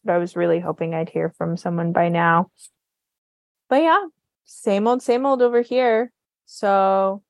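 A woman co-hosting a podcast, telling stories about her week in an animated, chatty way. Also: on the high side, no room sound, clean studio sound. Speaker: podcast co-host. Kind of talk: casual chat.

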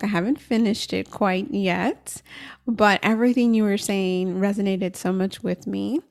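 A woman's voice: medium pitch, a little mellow. A woman hosting a podcast, introducing and questioning her guest in a conversational interview style.

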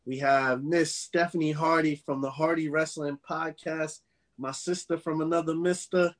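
A man hosting a podcast, chatting casually with a guest in an energetic, slangy way and laughing a lot. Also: on the high side, normal speed. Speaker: podcast host.

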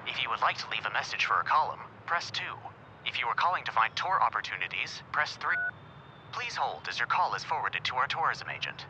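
A man's voice: mid-range voice, animated, a little fast, sharp tone. A man voicing a polite automated phone menu in an audio drama, formal and scripted. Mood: polite, impersonal. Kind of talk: recorded announcement in audio drama. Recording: dull sound, faint noise.

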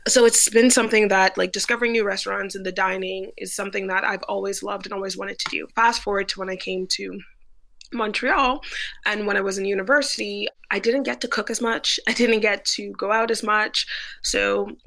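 Casual young woman from the bahamas chatting as a podcast guest, some fry in the voice. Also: mid-range voice, fairly even intonation, brisk, fairly bright tone. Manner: chatty, lots of "like" fillers. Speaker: guest, food photographer. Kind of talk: casual interview storytelling.

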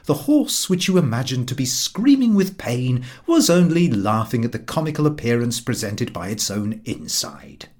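Male audiobook narrator, highly animated pitch, slightly husky voice, wry scripted literary reading. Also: moderate pace, few pauses.

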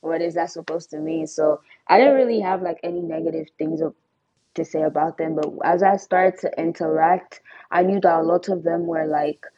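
Young female podcast guest from Nigeria, deep voice, explanatory and chatty, on a slightly muffled recording. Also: normal speed, dark tone. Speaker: interview guest, student. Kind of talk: casual podcast conversation.

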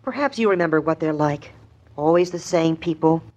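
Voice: medium pitch, moderate pace, a little mellow, natural voice. An actor playing a polite, self-serious prep-school teenage boy in a 1950s radio comedy, speaking formally and with some hesitation. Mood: politely solemn. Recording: dull old radio broadcast recording.

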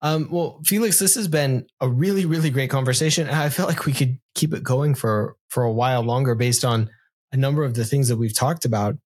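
A man hosts an interview podcast, tripping over his words and repeating himself as he praises his guest. His voice is husky and sits at a middle pitch. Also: fairly quick, darkish tone. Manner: stammering, effusive, repeats words. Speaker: podcast host. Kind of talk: interview questions.

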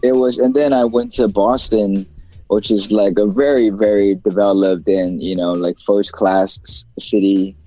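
Low, mellow-voiced young man rambling. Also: fairly even intonation, a little slow, muffled recording, faint music behind. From China. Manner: rambling, many fillers and restarts. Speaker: guest rapper. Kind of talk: casual interview talk.

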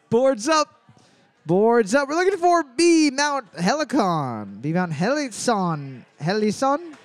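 Animated high-voiced man hosting trivia. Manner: animated, spells out answers slowly. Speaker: trivia host. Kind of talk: live bar trivia hosting with jokes.

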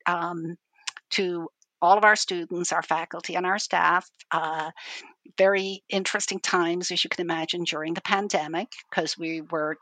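A woman's voice: fairly low pitch, steady tone, normal speed, fairly bright tone. A woman from Ireland, a university IT chief, explaining her work at length in a casual interview, with frequent restarts.

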